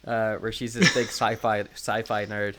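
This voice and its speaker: A man co-hosting a podcast in casual fan chat, excitable and self-deprecating, with some swearing. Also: fairly low pitch, a little slow, background noise. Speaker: podcast co-host.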